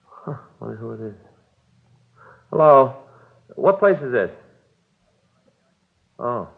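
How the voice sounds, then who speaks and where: An actor playing a plain-spoken, mildly weary police detective sidekick in old radio drama style. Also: male voice, medium pitch, animated, slow, gravelly. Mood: casual, puzzled. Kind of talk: 1940s radio acting. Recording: tinny old radio recording.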